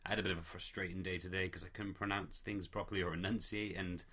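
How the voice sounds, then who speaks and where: A man's voice: low voice, flat delivery, fast, sharp tone. A man chatting casually on a podcast, rambling a little with fillers. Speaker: podcast co-host. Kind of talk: casual chat.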